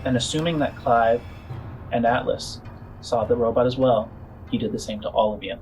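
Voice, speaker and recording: A man narrating as game master of a tabletop role-playing game, phrasing things haltingly and deliberately with pauses. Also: mid-range voice, steady tone, a little mellow, low-quality recording. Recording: music behind the voice. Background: music.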